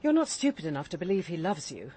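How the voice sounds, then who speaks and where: A woman's voice: fairly low pitch, very animated, fast talker, mellow, slight background noise. An actress with an English accent playing a middle-aged, stern female barrister and cold mother in a radio drama, articulate, caustic and controlled. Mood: cold, scornful.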